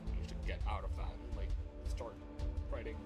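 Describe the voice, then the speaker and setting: A man doing casual livestream commentary on a game, excitable and self-deprecating, quick to laugh at himself. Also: on the low side, loud music behind the voice. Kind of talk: casual gameplay stream commentary.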